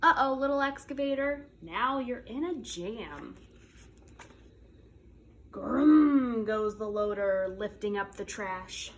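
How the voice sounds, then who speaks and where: A woman preschool teacher reading a picture book aloud in a playful, expressive way, drawing out the sound words. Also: a little high. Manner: animated, playful, drawn-out sound words and repetitions.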